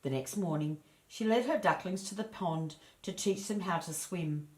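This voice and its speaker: Low-voiced woman storyteller in an echoey room. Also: moderate pace, a little mellow. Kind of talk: reading a children's fairy tale aloud.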